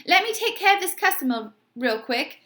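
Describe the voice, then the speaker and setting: A concerned, hurried shop man from a storybook, voiced by a woman reader. The delivery is high and lively, as if calling down a phone. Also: full character voice. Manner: questioning, hurried. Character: hurried, concerned male shop worker on speakerphone. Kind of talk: children's storybook read-aloud.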